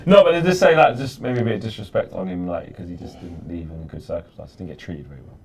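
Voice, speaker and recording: A man's voice: deep voice, darkish tone. A man, hosting a casual football chat and reading out viewer comments. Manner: rapid, reads viewer comments. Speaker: football show host. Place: dark studio.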